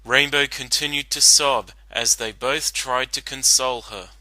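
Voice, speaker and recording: A man gives a dramatic, scripted story narration. His voice is very bright and forceful at a medium pitch, recorded dry and close. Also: steady tone, a little slow, no room sound, rough recording.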